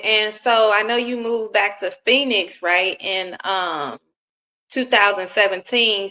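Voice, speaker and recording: A woman's voice: medium pitch, bright, forceful tone, low-quality recording. A woman hosting an interview, asking chatty, rambling questions full of fillers. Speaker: interviewer host. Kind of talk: casual interview.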